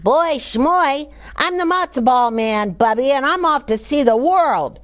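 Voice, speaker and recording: A woman's voice: sits high, expressive, a little slow, pitched above the performer's own voice. A woman voicing a cocky little matzo ball boy who boasts and taunts in a children's storybook. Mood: defiant, boastful. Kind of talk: children's storybook read-aloud.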